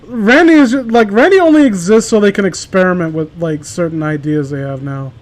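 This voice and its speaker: Higher-voiced guy, casual and stumbling. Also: brisk. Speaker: host reading stories aloud. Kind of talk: casual group banter and amateur story reading.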